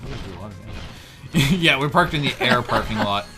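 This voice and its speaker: A man's voice: medium pitch, expressive, fast talker. A man playing and co-hosting a gaming show in casual let's play banter. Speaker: player and co-host of a gaming show. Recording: game music clearly behind the voice. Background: video game music.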